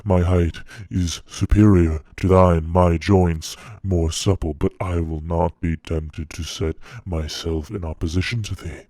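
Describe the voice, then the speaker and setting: A man performing a dramatic monologue as a wretched, bitter monster pleading with his creator, solemn and theatrical with halting pauses. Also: low voice, steady tone, a little mellow, no echo. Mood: defiant.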